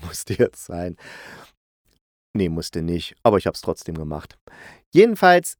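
Male podcast host, mid-range pitch, casual review style, playful, self-correcting, very little room sound. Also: no echo, clean studio sound. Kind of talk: casual, chatty solo review.

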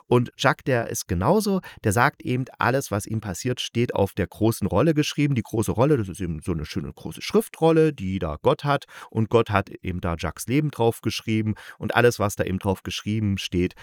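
A man's voice: lowish voice, expressive, brisk, few pauses, dry recording, studio quality. A man hosting a casual review, explaining things to listeners in a chatty, colloquial way. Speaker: podcast host and reviewer.

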